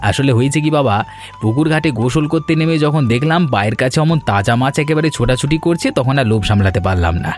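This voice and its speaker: A man plays a hardworking young Bengali villager in an animated family tale. He speaks earnestly and politely to his elders, with insistence, at a medium pitch. Soft music runs beneath his lines. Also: quick pace, natural voice. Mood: cheerful, earnest. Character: eager, respectful young village son-in-law, hardworking. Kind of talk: animated folk story dialogue. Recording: music bed under the voice.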